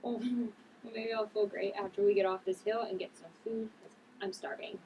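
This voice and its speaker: A woman at a table read playing a gentle, guarded young woman who carries grief. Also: medium pitch, flat delivery, normal speed, dull audio, lightly acted. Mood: light. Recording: distant mic, clear background noise.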